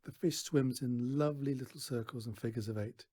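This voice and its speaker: A man reading a children's story aloud in an expressive narrating style. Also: medium pitch, brisk, no echo. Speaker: storybook reader.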